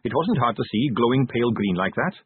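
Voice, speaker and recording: A man's voice: mid-range voice, animated, a little fast, slightly rough, dry recording. A man voicing an earnest, deferential young police captain in a storybook, respectful and eager to explain. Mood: dutiful. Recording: narrow-band, phone-like audio.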